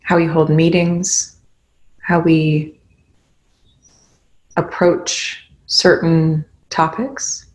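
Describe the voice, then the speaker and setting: Slow, even-toned meditation guide. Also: medium pitch, low-quality recording. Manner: gentle instructional phrasing with pauses.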